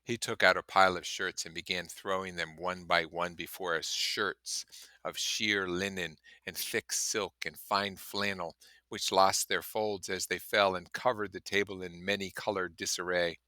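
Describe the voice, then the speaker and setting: A man with a fairly low, very bright voice reads a classic story aloud. He is expressive and dramatic, and explains things casually, in a dry, close recording. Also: moderate pace, dry recording. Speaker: reader and commentator. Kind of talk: expressive audiobook-style reading with casual asides.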